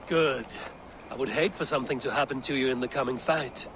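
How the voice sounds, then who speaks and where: An actor playing a loyal, commanding Viking war leader in a video game, measured in manner. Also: male voice, mid-range voice, natural voice. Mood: wry, encouraging. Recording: noisy outdoor ambience behind.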